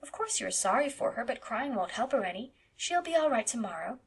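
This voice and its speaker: A woman voicing a gentle, imaginative young woman who talks softly and patiently to a child. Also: on the high side, big pitch swings, a little fast, slightly rough, heavily acted. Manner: soft and patient, speaking kindly to a child.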